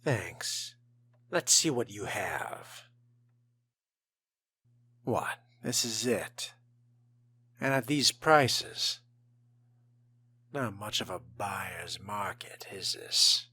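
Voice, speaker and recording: A man role-playing a smooth, menacing, haughty young vampire in a game, speaking in a measured, theatrical, formal way. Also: lowish voice, animated, pushed and bright, exaggerated voice. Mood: haughty, dissatisfied. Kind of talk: in-character role-play game commentary.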